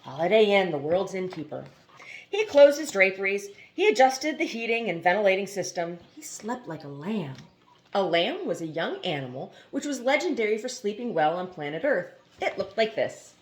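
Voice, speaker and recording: A woman reading a novel aloud, pausing between chapters for relaxed, chatty comments. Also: medium pitch. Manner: expressive reading, laughs at funny passages. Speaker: audiobook reader and channel host.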